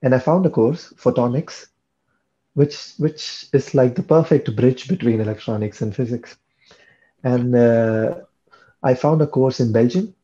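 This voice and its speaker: A man's voice: mid-range voice, measured pace, mellow, muffled recording. A young man from India, chatting in an interview about his studies, often repeating words as he thinks. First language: Tamil. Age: young adult. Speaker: guest, PhD student. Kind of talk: casual interview chat.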